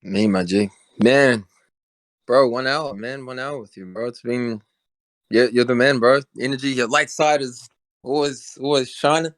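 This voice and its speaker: A man's voice: medium pitch, a little slow, dry recording, studio quality. A young man, hosting a casual voice chat in a friendly, slangy way. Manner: friendly, slangy, calls people bro. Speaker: host.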